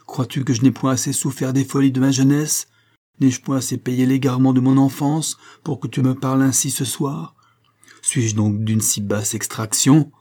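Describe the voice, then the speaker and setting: A man's voice: on the low side, fairly quick, mellow, breathy edge. A man voicing a proud, passionate young married woman pleading with her father in an audiobook story. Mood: indignant. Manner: imperious and emphatic, swinging to pleading.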